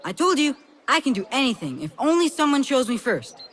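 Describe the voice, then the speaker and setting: A bold little kid in a game, earnest and full of conviction. The voice is high and very animated, rising and falling freely. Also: female voice, a little fast, a little hiss or hum. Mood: eager. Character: bold, earnest young child who idolises heroes. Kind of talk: video game cutscene acting.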